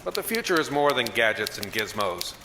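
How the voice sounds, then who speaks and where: A man's voice: on the low side, very animated, brisk, background noise. A man playing a wisecracking, old-fashioned newspaper reporter in a radio drama, narrating with hard-boiled, slangy wit. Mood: reflective. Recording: roomy echo, faint music behind.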